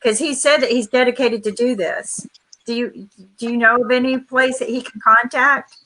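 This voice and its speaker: A woman's voice: fairly high pitch, steady tone, moderate pace, sharp tone, poor audio. A woman hosting a casual talk show over a video call, rambling with many restarts. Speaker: host.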